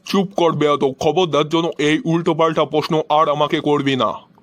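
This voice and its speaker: A man's voice: a little high, steady tone, moderate pace, background noise, close to an everyday voice. A man voicing an exasperated, easily irritated character in cartoon comedy skits, with a grumbling, scolding manner.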